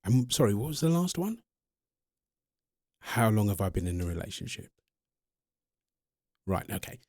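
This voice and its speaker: A man's voice: fairly low pitch, big pitch swings, moderate pace, darkish tone, dry recording, clean studio sound. A man playing an earnest centuries-old vampire in love, polite and insistent, in an ASMR-style audio roleplay. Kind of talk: ASMR audio roleplay, one-sided interview.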